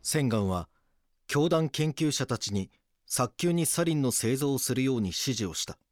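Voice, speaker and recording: An actor playing a stern but caring police detective who narrates an audio drama in a serious, measured way. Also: male voice, medium pitch, expressive, no echo, lightly acted. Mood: grave. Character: stern male police detective narrating, gruffly tender husband. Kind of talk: scripted documentary-drama narration and acting.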